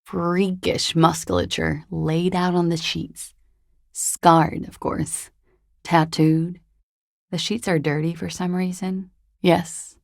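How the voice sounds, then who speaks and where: A woman's voice: on the low side, level tone, slow, creaky, no echo, clean studio sound. An actress voicing a world-weary, guarded, seductive woman in a video game, with languid, hesitant, wry delivery. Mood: wistful, wry. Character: world-weary, guarded, seductive disco-dancer woman recounting a dead lover. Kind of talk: video game dialogue acting.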